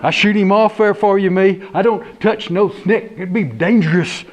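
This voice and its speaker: A man's voice: high voice, normal speed, muffled recording, voice pushed up, natural voice. A man doing a jumpy deputy. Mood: nervous. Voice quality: broken Cajun English, drawling. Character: bumbling Cajun male deputy sheriff, easily scared.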